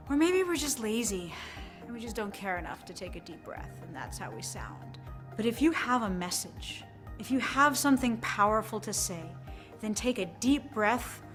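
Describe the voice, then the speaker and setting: A woman gives measured, emphatic coaching advice as a presentation coach. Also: medium pitch. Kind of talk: instructional talk.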